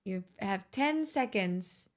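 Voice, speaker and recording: A woman's voice: mid-range voice, animated, slow pace. A woman in her twenties streaming live, chatting casually with joking, self-mocking banter. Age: 20s.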